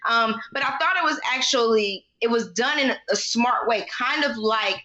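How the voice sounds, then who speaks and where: Bright, higher-voiced woman co-host, slightly lo-fi. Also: moderate pace. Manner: colloquial, slangy. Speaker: podcast co-host. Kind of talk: casual TV recap discussion.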